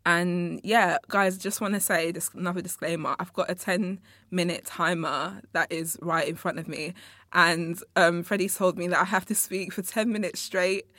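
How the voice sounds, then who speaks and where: A woman's voice: fairly low pitch, fairly even intonation, moderate pace, sharp tone, no room sound. A young woman from Congo, raised in England, hosting her own podcast in a studio, chatting in a rambling way straight to her listeners. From born in Congo, raised in England. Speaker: podcast host. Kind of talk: casual solo podcast monologue.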